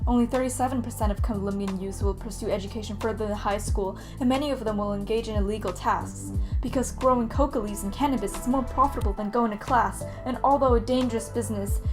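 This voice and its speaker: Teen female slam poet, higher-pitched voice, emphatic rhythmic recitation, backed by music. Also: fairly quick. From Canada. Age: late teens. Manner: earnest, emphatic, rhythmic delivery. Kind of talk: performed slam poetry recitation. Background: background music.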